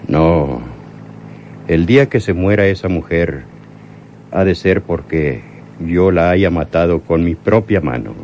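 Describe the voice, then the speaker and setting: An actor playing a menacing, lovesick crime boss in a radio drama, speaking deliberately and bitterly. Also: male voice, deep voice, darkish tone, lo-fi sound. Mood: bitter, self-reproaching. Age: older. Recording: noisy old radio drama recording.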